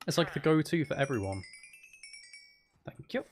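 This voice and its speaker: A man's voice: fairly high pitch, animated, moderate pace, soft background music. A man chatting casually and thinking aloud as he hosts a live game stream, talking to his viewers.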